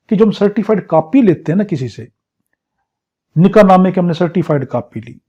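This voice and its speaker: A man's voice: on the high side, warm tone. A man with a Pakistani accent teaching a law crash course, explaining point by point with everyday examples and questions he answers himself. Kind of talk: exam crash-course lecture, explaining article by article.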